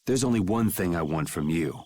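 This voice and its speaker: A man playing a cool, grim young vigilante swordsman in a video game, terse and unhurried. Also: low voice, animated, a little mellow. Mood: cold menace.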